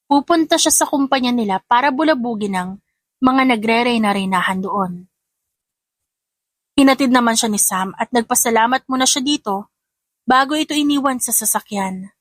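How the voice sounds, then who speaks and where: A woman's voice: a little high, no echo. A woman narrator reading a scripted story in a dramatic storytelling style.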